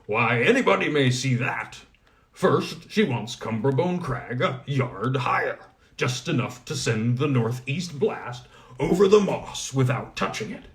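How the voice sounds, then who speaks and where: A man voicing a gruff, powerful male goblin smith who talks bluntly and gives commands. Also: mid-range voice. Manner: brusque, commanding.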